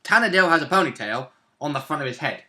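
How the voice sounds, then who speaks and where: Young man chatting, bright tone. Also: on the high side, animated, quick pace. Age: young adult. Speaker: co-host and player. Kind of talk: casual chat about a tabletop game. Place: small room at home, indoors.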